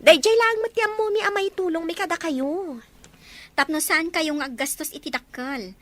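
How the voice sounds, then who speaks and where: A woman's voice: very high voice, normal speed, no room sound. A woman playing a chatty, giggly, generous best friend in an audio drama, teasing in an Ilocano accent. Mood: reassuring. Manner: animated, teasing.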